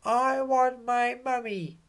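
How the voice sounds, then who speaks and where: Storybook baby owl, male reader, high-pitched, plaintive and loud, a needy little whiner. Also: steady tone, takes it slowly, full character voice. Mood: whining. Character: little whining baby owl wanting his mother.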